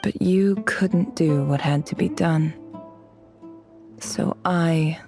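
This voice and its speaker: A woman playing a cold, cunning young female avenger in a video game, speaking in a smooth, measured, disdainful way. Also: deep voice, a little mellow, soft background music. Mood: bitter, gloating.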